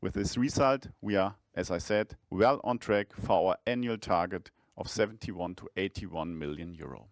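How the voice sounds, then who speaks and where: A man speaking as a chief financial officer, delivering a scripted earnings presentation in a measured, formal manner. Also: sits low, no room sound, clean studio sound.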